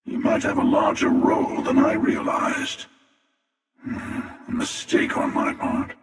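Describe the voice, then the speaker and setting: A man voicing a cynical, world-weary hunter in a video game, gravelly, sardonic and theatrical, with amused menace. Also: low-pitched, a little slow. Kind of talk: scripted video game character dialogue. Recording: cavernous echoing reverb, distant.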